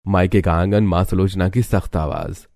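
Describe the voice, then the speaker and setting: A man's voice: low voice, fairly even intonation, normal speed, a little mellow. A man narrating a scripted Hindi cartoon story.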